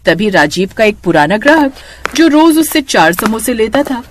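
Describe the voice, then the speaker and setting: Animated female storyteller with lively intonation. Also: on the high side, moderate pace, slight background noise. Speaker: narrator. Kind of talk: scripted storytelling narration for Hindi cartoon moral stories.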